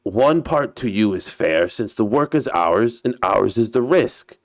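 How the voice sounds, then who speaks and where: A man voicing a tall, bearded, haughty Russian sea captain with a Russian accent, speaking slowly and deliberately in a storybook reading. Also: on the low side, expressive, dry recording, clean studio sound, voice pushed up. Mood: businesslike.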